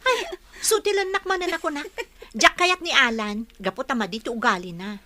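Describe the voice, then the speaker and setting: A woman's voice: sits high, brisk, pushed and bright. A woman acting a young working daughter, heartbroken and indignant, in an Ilocano radio drama with an Ilocano Filipino accent. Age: young adult.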